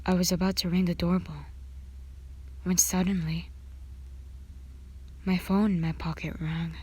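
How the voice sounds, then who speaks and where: A woman narrating a scripted fan fiction story in ASMR style, breathy and often half-whispered. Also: lowish voice, level tone, measured pace, darkish tone.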